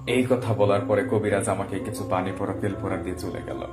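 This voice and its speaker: A man's voice: sits low, fairly even intonation, fast. A man narrating a ghost story in the first person as a horror storyteller. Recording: echoey voice over music bed.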